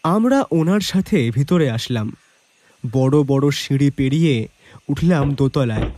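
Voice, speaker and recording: A man narrating a detective story as the detective's companion, in an expressive dramatised scripted style. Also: mid-range voice, unhurried, dry recording, close to an everyday voice. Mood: reflective, atmospheric.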